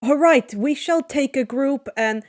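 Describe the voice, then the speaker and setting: A male captain from a Greek myth retelling, played by a woman narrator. He speaks out firmly and slowly, with an authority that gives way to wary bewilderment. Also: sits high. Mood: commanding.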